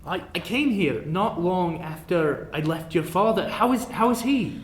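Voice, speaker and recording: A man's voice: high-pitched, lively intonation, measured pace, pitched above the performer's own voice. Man playing a friendly dwarven drifter, echoey room. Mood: concerned, curious. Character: friendly dwarven drifter, old family acquaintance, ladies' man. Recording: roomy echo, clear background noise.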